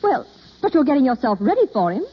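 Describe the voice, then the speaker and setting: Lively husky, knowing girlfriend. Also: high-pitched, fast, full character voice. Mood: probing. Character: shrewd, probing, teasing best girlfriend of a young woman. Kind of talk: 1930s-40s radio acting. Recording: muffled vintage radio broadcast.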